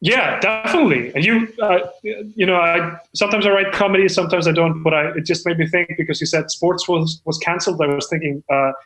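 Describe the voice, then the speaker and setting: A man's voice: fairly high pitch, brisk, few pauses, fairly bright tone, close to an everyday voice, rough recording. A man playing an eager, insecure amateur screenwriter in improvised comedy, speaking in an earnest, hesitant, rambling way. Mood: eager, excited. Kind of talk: improvised comedy podcast role-play.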